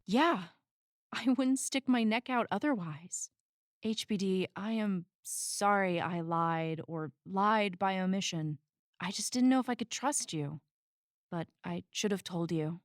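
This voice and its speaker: A woman plays a defiant, principled activist in an audio drama. She is blunt and guarded, at a medium pitch. The sound is dry, without room echo. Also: measured pace, lightly acted. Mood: contrite. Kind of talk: naturalistic audio drama acting.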